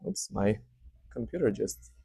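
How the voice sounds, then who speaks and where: A man in his thirties from Serbia with a Serbian accent, hosting his channel and talking straight to camera in a relaxed, unscripted way with many fillers. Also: on the low side, a little slow, gravelly, breathy edge. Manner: warm, hesitant, many fillers. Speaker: channel host. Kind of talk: casual unscripted update to camera. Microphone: speaking to camera.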